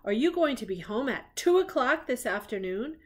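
A woman's voice: a little high, big pitch swings, moderate pace, pitched above the performer's own voice. A woman voicing a friendly, innocent big bird puppet making a casual phone call in a storybook. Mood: friendly, coy.

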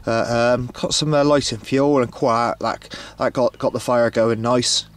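A man narrating a casual outdoor vlog in a hesitant, matter-of-fact way, often pausing as he goes. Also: mid-range voice, fairly even intonation. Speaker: radio hobbyist filming himself. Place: outdoors on an open hilltop. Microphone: handheld camcorder, operator behind camera.

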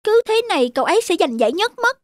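A woman's voice: very high-pitched, sing-song, rapid-fire. A woman voicing an anxious, insecure chubby schoolboy in a cartoon, fretful and self-questioning. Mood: anxious scheming. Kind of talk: cartoon dubbing. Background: prominent music.

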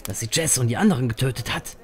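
A man's voice: medium pitch, lively intonation, fast talker, natural voice. A man playing a sardonic, hot-tempered grim-reaper ferryman who also narrates a fantasy horror audio drama. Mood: accusing. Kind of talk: audio drama acting with first-person narration.

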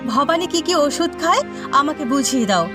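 A woman's voice: high-pitched, animated, normal speed, music in the background. A woman voicing an anguished, tender village mother with pleading, emotional delivery in a melodramatic moral-story cartoon. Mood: accusing.

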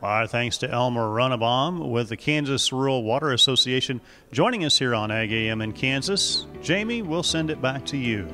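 A man's voice: medium pitch, animated, normal speed, bright, forceful tone, soft background music. A middle-aged man, a farm radio host asking interview questions with frequent "uh" fillers. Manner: friendly broadcaster, leading questions with "uh" fillers. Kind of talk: broadcast interview. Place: indoor office. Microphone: handheld station mic.

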